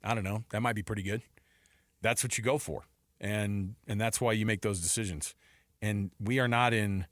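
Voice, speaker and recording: A man's voice: lowish voice, a bit bright, no room sound. A man co-hosting a sports talk radio show in an emphatic, informal, opinionated way, often repeating himself, on a close studio mic. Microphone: close broadcast studio mic.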